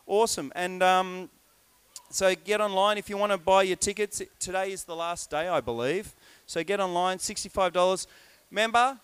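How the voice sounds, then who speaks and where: A male pastor with a high, smooth, clean voice, recorded close and dry. He runs through church announcements in a chatty way, tossing in jokes and asides before he leads a prayer. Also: fairly bright tone, dry recording. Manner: jokey, chatty, breaks off into asides.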